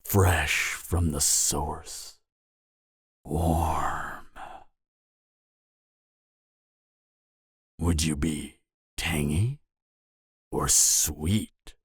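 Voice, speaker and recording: A man's voice: extremely low pitch, steady tone, breathy edge, no echo, studio quality, heavily acted. A man playing a cocky, flirtatious and dangerous vampire in an audio roleplay, teasing the listener with a smug, seductive delivery. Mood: seductive, menacing. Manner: teasing, smug, seductive drawl with dramatic pauses. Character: cocky, flirtatious, dangerous adult male vampire, former killer.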